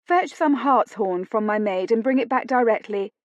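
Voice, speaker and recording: A woman narrator puts on an anxious housekeeper from a period novel. She is fretful and easily flustered, with a sharp, bossy way of speaking. The recording is dry. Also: fairly high pitch, lively intonation, moderate pace, no room sound, highs cut off, voice pushed up, lightly acted.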